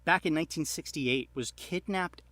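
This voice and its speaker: A man as a podcast guest, recounting film stories in casual, halting talk with frequent restarts. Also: on the high side, very noisy.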